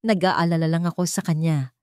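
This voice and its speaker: A dry recording of a scheming man voiced by a woman storyteller with a Filipino accent, fairly low. He sounds measured and earnest, insinuating under feigned concern in a dramatic story. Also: steady tone, a little mellow, smooth, no echo, close to an everyday voice.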